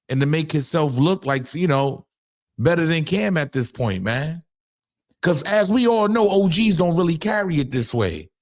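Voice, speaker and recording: A man from Harlem with a New York accent, his voice pitched well above most men's. He hosts his own commentary channel, talking straight to the viewers, and he drives his points home by firing the same question again and again. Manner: emphatic, repeats rhetorical questions. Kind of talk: casual commentary to camera.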